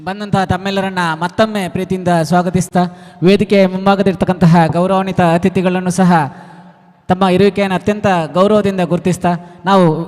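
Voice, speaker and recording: A man's voice: high voice, level tone, brisk, darkish tone. A man giving formal welcomes as master of ceremonies at a stage event. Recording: reverberant hall PA.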